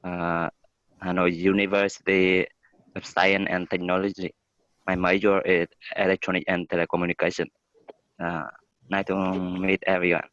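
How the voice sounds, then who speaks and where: A young man in his twenties from Vietnam, a student introducing himself hesitantly with many pauses. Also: low-pitched, level tone, fairly bright tone, no echo. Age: 20s. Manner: hesitant, filler-heavy introduction. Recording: dry, dull lo-fi audio.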